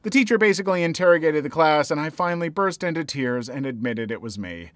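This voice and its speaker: Expressive man reading stories aloud. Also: a little high, quick pace, no echo. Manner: expressive, swings between loud and hushed. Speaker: podcast host reading stories. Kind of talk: story reading with commentary.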